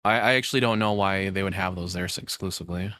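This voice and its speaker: A man talks off the cuff as a reacting streamer, blunt and opinionated. His voice sits a little low, and it is recorded dry, without room echo. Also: quick pace, pushed and bright. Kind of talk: casual off-the-cuff reaction commentary.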